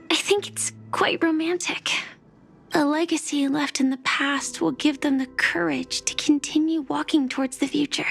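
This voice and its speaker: A woman playing a gentle, earnest young heroine in an anime-style video game. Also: high-pitched, vocal fry. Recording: music behind.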